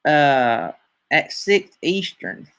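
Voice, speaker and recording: A man's voice: fairly high pitch, sing-song, very slow, fairly bright tone. Rambling Southern man hosting a chat. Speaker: host. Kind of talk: casual live-stream chat.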